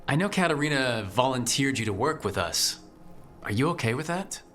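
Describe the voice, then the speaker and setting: A man's voice: mid-range voice, lively intonation, moderate pace, a bit bright, soft background music, lightly acted. An actor playing a direct, wry and concerned male party leader in a video game, asking things straightforwardly. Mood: probing, casual. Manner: probing, straightforward, lightly wry. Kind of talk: video game dialogue acting.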